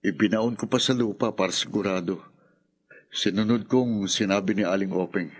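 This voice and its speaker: A defensive young man, stammering, low voice, radio drama. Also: normal speed, slightly rough. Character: nervous young man hiding his guilt.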